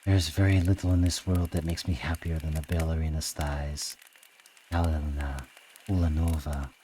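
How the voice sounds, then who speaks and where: A man performs a swaggering young Russian man from an audiobook, with a very deep voice. He is cocky and theatrical, bragging and teasing as he goes, over faint background noise. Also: little pitch movement, normal speed, warm tone. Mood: boastful. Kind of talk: acted audiobook dialogue.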